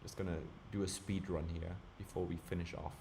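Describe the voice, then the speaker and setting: Young German-accented male streamer, low register, easygoing live commentary, thinks aloud. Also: a little fast, vocal fry, slight background noise. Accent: German with British and American traces. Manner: relaxed, thinking aloud, chatty.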